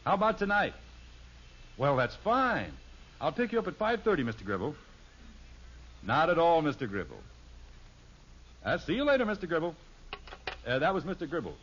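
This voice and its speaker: A man's voice: on the high side, huge pitch swings, moderate pace, pauses often, fairly bright tone, slight background noise. An actor playing a strict, exasperated middle-aged father with an American accent, lecturing and commanding in 1950s radio sitcom style. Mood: polite, eager. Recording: muffled vintage radio recording.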